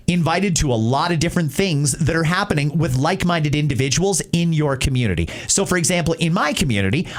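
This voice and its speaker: A man with a Canadian accent hosting talk radio, giving emphatic, plain-spoken opinions in a conversational way. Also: a little high. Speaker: talk show host. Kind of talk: opinionated talk-radio commentary answering listener messages.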